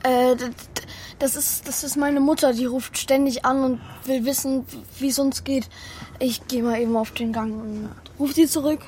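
Young boy played by a performer, worried, introspective, hesitant; higher voice; children's audio drama narrator-hero. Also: fairly even intonation, moderate pace, a little mellow, a little hiss or hum, close to an everyday voice. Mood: flustered. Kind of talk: children's radio play, acting and first-person narration.

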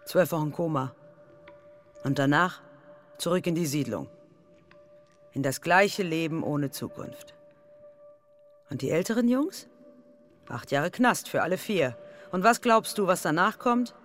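A woman's voice: deep voice, animated, unhurried, long pauses, faint music behind. An actress playing a blunt, scornful woman in a radio drama, confrontational and mocking in a colloquial way. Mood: grim, bitter. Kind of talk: German radio drama dialogue.

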